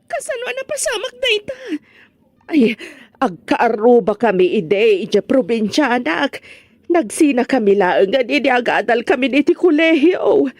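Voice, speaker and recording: A woman's voice: fairly high pitch, big pitch swings, unhurried, no echo, full character voice. A woman acting in an Ilocano drama as distressed, argumentative women, exclaiming emotionally with a Filipino Ilocano accent. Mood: shocked, tearful.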